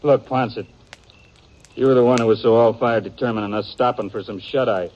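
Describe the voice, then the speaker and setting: An actor playing a prickly, secretive outlaw in a 1950s radio western, speaking tersely with an American accent. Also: male voice, mid-range voice, fairly even intonation, a little fast, lightly acted. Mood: guarded, irritated. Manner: terse, defensive. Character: prickly, guarded Old West outlaw, a secretive man. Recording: muffled, noisy 1950s radio recording.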